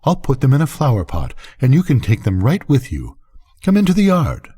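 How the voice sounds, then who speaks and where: A man's voice: medium pitch, wildly swooping pitch, a little fast, warm tone, breathy edge, pitched above the performer's own voice. An older man reading a story, voicing an eager, intense farm woman who is passionate about her flowers. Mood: excited, eager.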